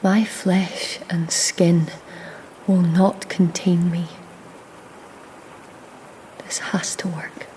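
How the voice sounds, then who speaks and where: An actress in an audio drama playing a defiant, scheming young goddess, haughty and intense. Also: female voice, on the low side, level tone, extremely slow, pauses often, dark tone, slight background noise, poor audio. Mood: resolute, intense. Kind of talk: audio drama acting with first-person narration.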